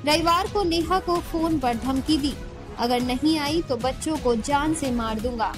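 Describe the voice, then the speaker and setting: A woman with a very high voice delivers scripted crime news narration over background music. Her delivery is dramatic and emphatic, in the manner of a news narrator.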